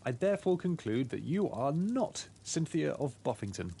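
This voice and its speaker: A man's voice: fairly high pitch, very animated, normal speed, gravelly, dark tone, a little hiss or hum. A man playing a pig detective in a video game, laying out his deductions in an expository, storytelling way. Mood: conclusive. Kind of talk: scripted game dialogue.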